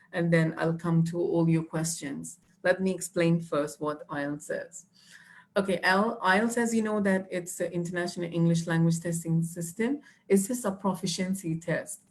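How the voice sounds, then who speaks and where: A young woman, teaching exam tips patiently with many fillers and restarts. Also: fairly low pitch. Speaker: IELTS trainer. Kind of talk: live online teaching.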